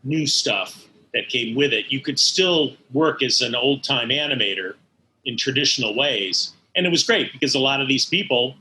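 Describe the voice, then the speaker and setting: An older American man recalling his work as an interview guest, in a halting way that circles back over words. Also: medium pitch, animated, bright, forceful tone, a little hiss or hum, rough recording. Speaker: interview guest, animation studio veteran. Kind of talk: conversational interview recollection.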